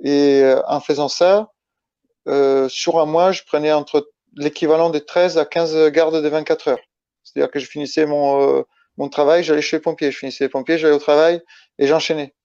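A man from Italy speaking as a guest in a casual interview, halting and restarting his phrases as he explains. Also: a little high, fairly even intonation, mellow. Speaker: interview guest, ultra-trail runner. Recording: dull lo-fi, roomy echo.

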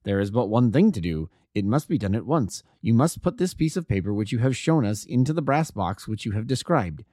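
A man voicing a brisk, commanding detective in a storybook, speaking in urgent, precise imperatives. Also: on the low side, very animated, dark tone, dry recording, clean studio sound. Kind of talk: audiobook character dialogue.

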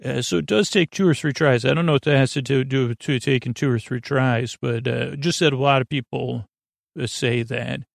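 A man hosting a bedtime podcast in a meandering, hesitant monologue, often interrupting himself, with a gravelly, creaky voice. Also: mid-range voice, fairly even intonation, normal speed, no echo, clean studio sound. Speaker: podcast host.

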